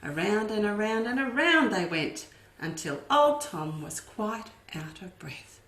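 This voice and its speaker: Woman educator, unhurried storytime reading for kids, lively asides, roomy echo. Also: medium pitch. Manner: expressive, with asides to young listeners. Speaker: children's educator. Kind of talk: storytime read-aloud. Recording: echoey room.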